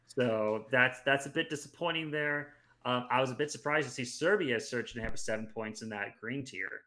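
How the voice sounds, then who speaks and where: A man in his thirties talks through sports standings in a casual, chatty way, often restarting his phrases. His voice is bright and crisp at an average pitch. He speaks into a headset at home. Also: normal speed. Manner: talkative, analytical, restarts mid-phrase. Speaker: podcast guest.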